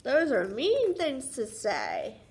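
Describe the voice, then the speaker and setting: Slow, very high-pitched, animated woman reading aloud. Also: slight background noise. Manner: sing-song, prompting listeners with questions. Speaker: children's read-aloud teacher. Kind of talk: interactive picture-book read-aloud for young children.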